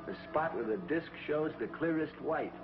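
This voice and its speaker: A man playing a steady rocket-pilot hero. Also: on the high side, normal speed, noisy background, natural voice. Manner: calm, matter-of-fact. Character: heroic male rocket pilot, steady and confident. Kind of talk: 1950s TV sci-fi acting. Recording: dull old 1950s TV soundtrack, music behind.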